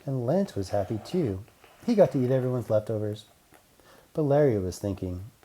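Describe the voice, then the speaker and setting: Children's audiobook narrator: mellow, relaxed tone, medium register, deliberate storybook phrasing. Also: medium pitch, low-quality recording.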